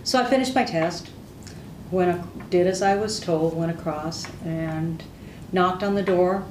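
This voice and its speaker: A woman's voice: lowish voice, measured pace, a little mellow, recorded from a distance, a little hiss or hum. An older woman, a native Hungarian speaker, telling stories from her service candidly in an oral history interview. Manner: candid, plain-spoken storytelling. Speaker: veteran interviewee. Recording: roomy echo.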